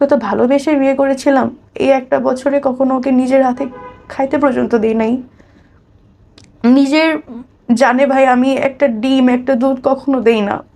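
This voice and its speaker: A woman's voice: high-pitched, darkish tone. A woman with a Bangladeshi accent telling her own life story conversationally as an interview guest, acting out what people said.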